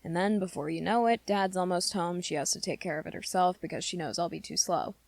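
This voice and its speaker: A woman plays an awkward teenage werewolf boy with a low voice full of gravelly creak. He talks haltingly and earnestly, close to the listener as in an ASMR roleplay. His short temper is held back in favour of gentleness, and the sound is dry and intimate. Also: no echo. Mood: earnest, nudging. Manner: hesitant, halting, rambling confession to listener.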